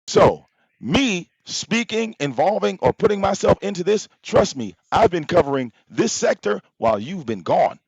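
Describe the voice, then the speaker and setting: A loud-voiced man calls out a rival on his live stream, firing off profane rhetorical questions. His pitch swings all over the place, and the sound is dry and a bit dull. The mic pops now and then. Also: big pitch swings, moderate pace, breathy edge, no room sound. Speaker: livestream host. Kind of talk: confrontational live-stream rant. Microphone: popping mic.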